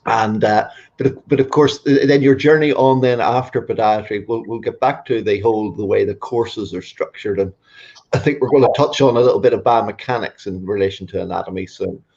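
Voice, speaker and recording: A man with a fairly low voice hosts a conversational interview. He leads into questions with hesitant restarts and nods along with short agreements. His audio comes through dull and muffled. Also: a little fast, a little mellow. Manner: hesitant, affirming with short backchannels. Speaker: host, podiatrist. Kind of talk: casual interview.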